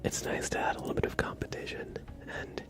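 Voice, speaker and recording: A man hosting an ASMR livestream, chatting casually and softly close to a sensitive microphone. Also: loud music behind the voice. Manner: whispered, soft-spoken, unhurried. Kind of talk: ASMR, casual stream chat.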